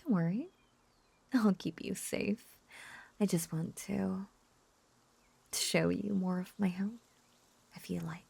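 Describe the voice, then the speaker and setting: Woman acting a breathy, slow, lovesick witch. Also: medium pitch, mellow, no echo, heavily acted. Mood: tender, coaxing. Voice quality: breathy, whispery. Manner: intimate, halting, whispery and coaxing. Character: lovesick, possessive young female witch, lonely and clingy. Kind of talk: intimate audio roleplay acting.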